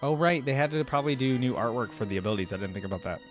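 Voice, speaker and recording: A man's voice: medium pitch, animated, racing. A man in his twenties giving casual live reaction commentary, thinking aloud in an informal way into a close microphone. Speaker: reaction video host. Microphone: close desktop microphone, headset worn. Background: game theme music.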